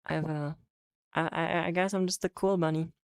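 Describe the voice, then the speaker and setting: Low-voiced Polish woman, mixed English accent. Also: fairly even intonation, a little fast, clear, resonant tone, no echo, clean studio sound. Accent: mix of American and British English. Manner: rambling and self-correcting, frequent fillers and restarts, laughs easily. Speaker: VTuber streamer. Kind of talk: casual livestream chat, reading chat aloud.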